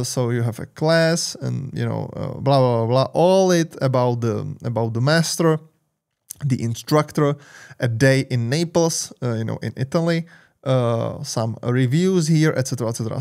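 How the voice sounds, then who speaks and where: A man with a Czech accent talks through a software tool on his channel, loose and rambling, full of "uh" and "et cetera." English is not his first language, and he speaks at an ordinary middle pitch into a big studio mic. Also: creaky. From Czech Republic. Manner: loose and rambling, many fillers and repeated "et cetera". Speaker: tech channel host. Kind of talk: screen-share software walkthrough. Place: home studio with purple lights. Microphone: large studio mic on arm.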